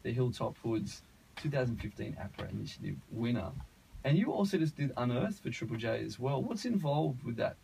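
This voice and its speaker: Male radio interviewer, Australian, medium pitch. Gravelly, informal banter, slight background noise. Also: big pitch swings, a little fast, warm tone, poor audio. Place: radio studio.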